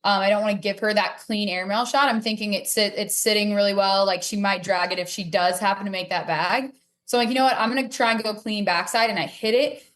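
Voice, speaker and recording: A woman's voice: medium pitch, fairly even intonation, fast talker, a bit bright. A woman talking casually as an interview guest, telling stories and acting out bits of dialogue. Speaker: podcast guest, cornhole player.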